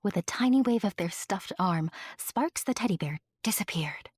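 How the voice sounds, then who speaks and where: A woman giving scripted fairy-tale narration, addressing the listener with deliberate dramatic pauses. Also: mid-range voice, expressive, a little mellow, husky, dry recording, studio quality. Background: music.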